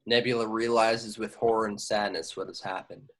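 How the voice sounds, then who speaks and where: A man's voice: lowish voice, fairly even intonation, moderate pace, fairly bright tone. A man reading scripted action descriptions at an amateur table read, now and then stumbling and repeating words. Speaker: stage directions reader.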